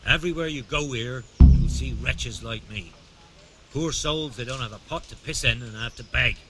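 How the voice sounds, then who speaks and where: An actor playing a wily, aggrieved beggar and pickpocket in a video game, plaintive and streetwise. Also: male voice, medium pitch, normal speed, very noisy, lightly acted. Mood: grumbling, persuasive. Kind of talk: video game dialogue acting.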